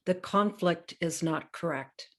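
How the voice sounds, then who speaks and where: A woman's voice: lowish voice, slow. A woman author chatting casually on a panel, laughing easily. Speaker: author guest.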